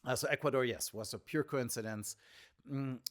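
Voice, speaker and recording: A middle-aged man from Germany, a tour guide answering interview questions in a measured way with frequent fillers. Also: medium pitch. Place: indoor shop or venue.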